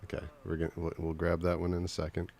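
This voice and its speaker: A man's voice: sits low, fast talker, vocal fry, crowd noise. A man from California with a Californian accent, a musician chatting casually and playfully while mixing on a live stream. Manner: relaxed, playful, joking.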